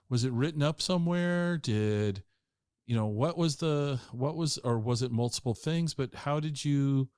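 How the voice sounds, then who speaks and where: A man's voice: mid-range voice, a little mellow, dry recording, clean studio sound. A man hosting a podcast interview, asking curious, probing questions with frequent restarts. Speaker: podcast host. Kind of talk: casual interview conversation.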